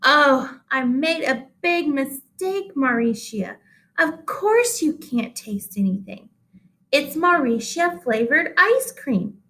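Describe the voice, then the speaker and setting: A caring, eager storybook schoolteacher, read by a woman, talks in a high voice. She is earnest and keen to please her class. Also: sing-song, takes it slowly, fairly bright tone, full character voice. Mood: realising, explaining.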